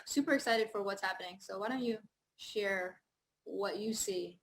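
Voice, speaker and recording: A woman's voice: mid-range voice, normal speed. Woman co-hosting a call, cheerful, bit far from the mic, faint hiss behind. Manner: cheerful, talks over a weak mic by raising her voice. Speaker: co-host of a company team call. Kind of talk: casual call chat.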